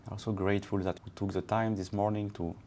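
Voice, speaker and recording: A man co-hosting a podcast who leads a calm, soothing guided meditation and then chats with measured phrasing. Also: on the low side, a little hiss or hum. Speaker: podcast co-host. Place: Barcelona.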